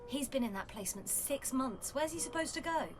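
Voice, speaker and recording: An actress playing a blunt, sardonic pregnant schoolteacher with a dramatic way of telling things, in an audio play. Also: female voice, high voice, expressive, brisk, background noise. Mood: flustered.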